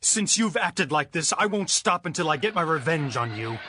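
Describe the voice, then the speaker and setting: A man playing a bold, vengeful biblical strongman in a dramatized audiobook, declaiming forcefully. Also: a little high, big pitch swings, normal speed, slight background noise. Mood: defiant.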